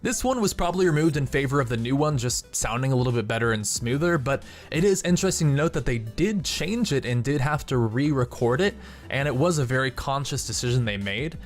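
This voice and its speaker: A man's voice: mid-range voice, lively intonation, brisk. A man hosting a video game channel, reading scripted narration. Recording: background music under voice. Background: music.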